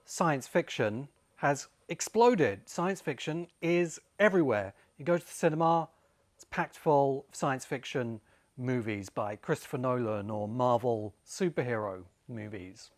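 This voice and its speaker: A British man in his forties presenting an informal explanatory talk as host, speaking emphatically and deliberately with pauses for effect. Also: medium pitch, animated. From Great Britain. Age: 40s. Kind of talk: informal explanatory video essay.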